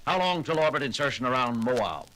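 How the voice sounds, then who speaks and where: An actor playing a commanding male starship captain who talks in a brisk way, giving orders, in a 1970s audio drama. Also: mid-range voice, big pitch swings, very noisy, natural voice.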